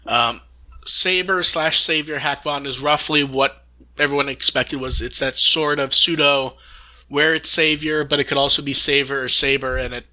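A man's voice: on the high side, fairly even intonation, normal speed, sharp tone. A man hosting a podcast, talking casually through news with a wry, dry sense of humour. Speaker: podcast host.

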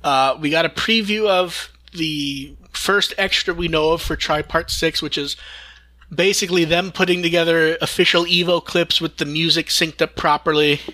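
A man's voice: fairly high pitch, steady tone, moderate pace, bright, forceful tone, poor audio. A man hosting a podcast, going through news in a casual discussion style. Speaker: podcast host.

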